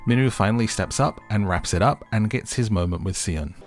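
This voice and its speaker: Low voiced guy doing a wry tv recap, kinda exasperated, bit of music behind. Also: brisk. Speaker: recap host and reviewer. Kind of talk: scripted narration, opinionated show recap.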